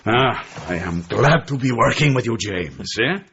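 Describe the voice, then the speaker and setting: An actor playing a genial, shrewd Turkish intelligence chief in a radio drama, with a Turkish accent, a husky voice and an expansive manner. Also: male voice, mid-range voice, lively intonation, unhurried, fairly bright tone, highs cut off, slight background noise. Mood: warm, confiding. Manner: expansive, tags sentences with a questioning "eh".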